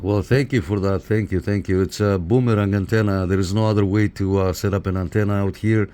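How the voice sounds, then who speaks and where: A man's voice: fairly low pitch, fairly even intonation, a little fast. A man from Canada talking casually as he reviews and operates radio gear, often repeating short phrases. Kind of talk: casual hands-on product review. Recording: clear background noise.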